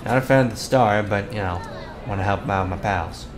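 A man playing a bossy teenage school bully with a hidden soft side, in an ASMR roleplay, brusque and given to muttering. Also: on the low side, moderate pace, natural voice. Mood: feigned casual. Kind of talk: ASMR boyfriend roleplay acting. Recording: clear background ambience noise.

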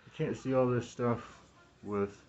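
A man in his thirties in a rambling, halting monologue, repeating words and phrases, recorded on a phone indoors through a cloth mask. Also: medium pitch, fairly even intonation, darkish tone, muffled recording. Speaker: vlogger talking to camera. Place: small room indoors. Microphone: phone at arm's length, speaking through cloth mask.

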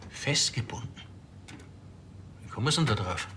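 An actor playing an evasive, defensive middle-aged village hunter, curt under questioning. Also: male voice, medium pitch, animated, normal speed, bright, forceful tone, slight background noise. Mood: uneasy.

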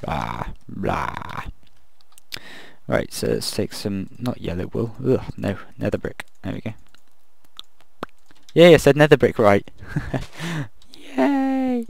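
A man casually commenting while he builds in a video game, thinking aloud and rambling, his voice croaky from the late hour. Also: medium pitch, very animated, slow pace, pauses often. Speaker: gaming video creator.

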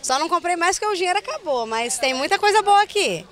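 Enthusiastic high-voiced Brazilian woman. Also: big pitch swings. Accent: Brazilian Portuguese. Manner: enthusiastic, quick. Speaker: shopper.

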